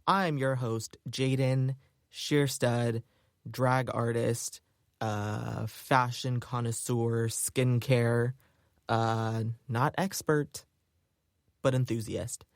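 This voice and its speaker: A man's voice: mid-range voice, fairly even intonation, extremely slow, no echo, clean studio sound. A man hosting a solo podcast, talking in a chatty, upbeat way with lots of filler words. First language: English. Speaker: podcast host. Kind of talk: casual solo podcast chat.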